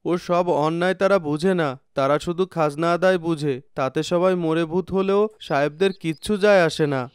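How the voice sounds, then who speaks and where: A man's voice: a little high, brisk, clear, resonant tone, no room sound, lightly acted. A man voicing a complaining young village son and older brother in a cartoon. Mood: worried. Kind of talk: cartoon dubbing.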